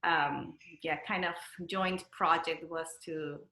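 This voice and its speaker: A woman from Peru with a Peruvian Spanish accent, speaking casually and haltingly as she searches for words. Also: deep voice, steady tone, pushed and bright, a little hiss or hum. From Lima, Peru. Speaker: interview guest, dancer and choreographer. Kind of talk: casual conversational interview. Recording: roomy echo.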